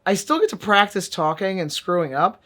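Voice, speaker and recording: A man's voice: sits high, sing-song, brisk, sharp tone. A man vlogging a casual app review in a wry, joking way, with sudden emphatic bursts. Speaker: VR fitness and language vlogger.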